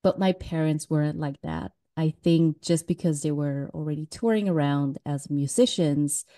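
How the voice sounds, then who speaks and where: Woman, pretty low voice, warm and relaxed, laughs easy on a podcast. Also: normal speed. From Switzerland. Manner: chatty and candid, laughs easily. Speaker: guest. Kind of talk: casual interview talk.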